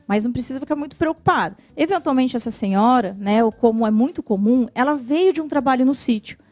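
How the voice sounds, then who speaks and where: A Brazilian woman answering viewers' questions about benefits in a TV interview, explaining patiently and clearly, often adding "né". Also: high-pitched, fast, a little mellow, dry recording, soft background music. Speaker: social security lawyer guest. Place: TV studio.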